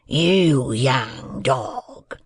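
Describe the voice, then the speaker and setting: Storybook villain, performed by a man: ragged growling convict, gravelly, threatening, rustic, dragging out every word. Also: medium pitch, expressive, dry recording, studio quality, heavily acted. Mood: hungry, threatening. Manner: slow, drawn-out threatening rustic speech.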